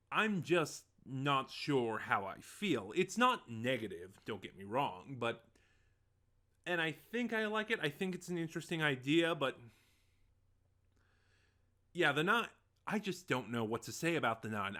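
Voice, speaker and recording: A man's voice: mid-range voice, pushed and bright. A man giving a casual, unscripted review as host, talking in a rambling, hesitant way and often correcting himself. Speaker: online reviewer of audio dramas, host.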